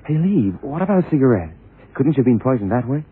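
An actor playing an obliging, slightly slow police sidekick in an old radio drama, casual and questioning. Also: male voice, mid-range voice, very animated, husky. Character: obliging male police sidekick, eager but slower-witted. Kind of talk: 1960s-70s radio drama acting. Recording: tinny, narrow old radio recording.

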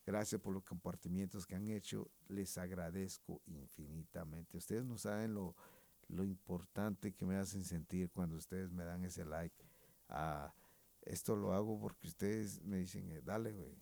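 A man's voice: deep voice, steady tone, normal speed, gravelly, dark tone, dry recording. A man doing a slangy, rambling comic monologue, laughing often. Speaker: comedian and music presenter. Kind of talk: comic monologue with put-on voices.

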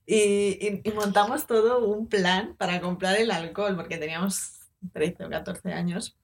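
A young woman with a Spanish accent tells a story with lots of energy. She talks in an easy, chatty way and laughs often. Her voice sits at a middle pitch. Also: moderate pace. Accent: Spanish, from Spain. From Spain. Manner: animated, laughing anecdotes. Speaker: podcast co-host. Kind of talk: casual storytelling chat.

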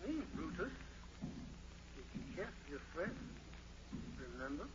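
The eerie ghost of a murdered man in an old radio play. He taunts in a slow, drawn-out voice through a noisy, muffled broadcast. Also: on the high side, sing-song. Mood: eerie, taunting. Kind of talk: 1940s radio drama acting.

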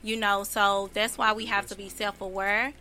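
Earnest woman arguing her point, bright voice. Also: medium pitch, flat delivery, moderate pace, slight background noise. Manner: earnest, persuasive, pushes back. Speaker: panelist. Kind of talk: round-table discussion.

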